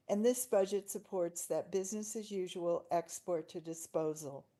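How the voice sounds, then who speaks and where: A woman testifying remotely over a video call, reading prepared testimony in a steady, pointed way. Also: lowish voice. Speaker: public testifier.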